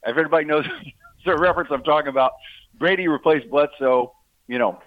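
A man's voice: a little high, fairly quick, no echo. A man on a phone line, a guest arguing politics in a casual talk-show chat and leaning on sports comparisons. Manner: talkative, uses sports analogies.

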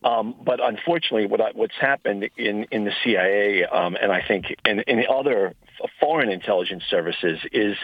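A man being interviewed as a guest on a radio show, speaking haltingly with many restarts. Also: on the low side, dry recording. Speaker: guest, retired intelligence officer. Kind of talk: phone-in interview. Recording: tinny phone line.

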